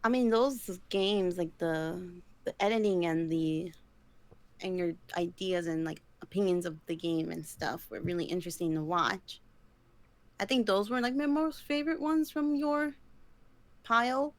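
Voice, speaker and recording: A woman's voice: fairly low pitch, measured pace, no echo. A woman co-hosting a podcast, chatting casually and peppering her talk with "like". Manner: conversational, frequent "like". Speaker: podcast co-host. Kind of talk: casual chat.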